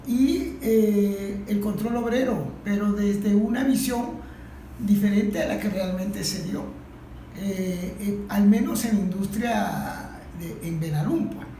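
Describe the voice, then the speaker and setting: A middle-aged woman with a Venezuelan accent speaking as a panel guest, haltingly searching for her words. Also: medium pitch, warm tone. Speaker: interview guest, industrial worker. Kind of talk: spontaneous panel discussion. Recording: very echoey room, faint noise.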